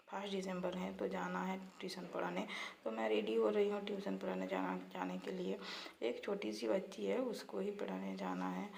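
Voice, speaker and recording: A woman with a slightly hoarse, creaky voice talks through her day as a casual vlogger. Her delivery is fairly even in pitch, chatty and simple, with some English words dropped in. There is faint noise in the home recording. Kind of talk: casual daily-life vlog narration.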